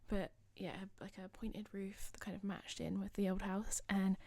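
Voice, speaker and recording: A young woman with a slightly husky voice, rambling casually over a game build video. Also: medium pitch, level tone, normal speed, darkish tone, no echo. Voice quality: slightly husky, losing her voice. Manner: rambling, chatty, self-deprecating. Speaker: gaming channel host.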